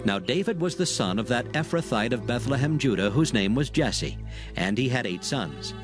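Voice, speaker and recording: Male narrator for dramatized scripture audiobook, expressive range, faint music underneath. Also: medium pitch, moderate pace.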